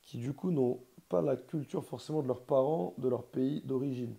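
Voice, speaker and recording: A man's voice: medium pitch, moderate pace, creaky, warm tone. A man in casual conversation, talking in a rambling, emphatic way with lots of slang. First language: Portuguese heritage, quasi bilingual.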